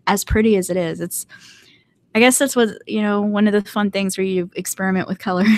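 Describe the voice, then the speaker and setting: A woman with a light North Carolina Southern accent chatting casually on a live stream, rambling and laughing often. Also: mid-range voice. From Southern United States. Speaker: streamer hosting a live hangout.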